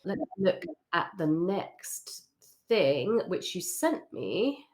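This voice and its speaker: A woman's voice: lowish voice, sing-song, extremely slow. Upbeat, chatty woman host. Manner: upbeat, chatty, with frequent laughs. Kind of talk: casual interview, giving brand feedback.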